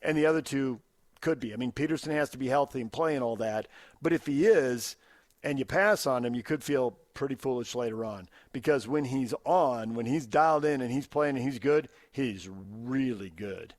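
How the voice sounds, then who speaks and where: A man's voice: mid-range voice, moderate pace, dry recording, studio quality. An American man co-hosting a sports radio show, arguing his opinions point by point in unscripted debate.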